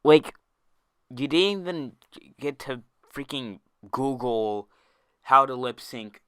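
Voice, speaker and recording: A man's voice: mid-range voice, lively intonation, takes it slowly, fairly bright tone, smooth, no room sound. A young man ranting in an online commentary video, exasperated and often tripping over and restarting his words, close to a dry mic. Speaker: YouTube commentator.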